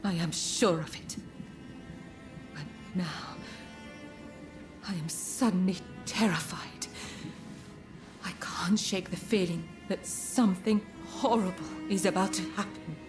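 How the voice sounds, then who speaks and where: A woman playing an earnest, devoted young woman in a game cutscene, speaking softly and hesitantly with formal politeness. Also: on the low side, slow pace, long pauses. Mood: anxious, fearful. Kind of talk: dramatic video game cutscene acting. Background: music.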